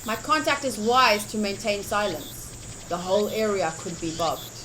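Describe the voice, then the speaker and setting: A woman streaming a game playthrough, chatting casually and reading the text aloud in an expressive, enthusiastic way. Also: mid-range voice, normal speed, slight background noise. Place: livestream setup.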